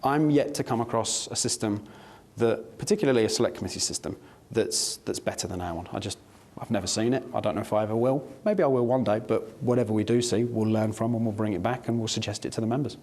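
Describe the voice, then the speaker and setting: A man's voice: fairly low pitch, fast talker, a little mellow. A man, a parliamentary official, explaining with earnest enthusiasm in a documentary interview. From not New Zealand.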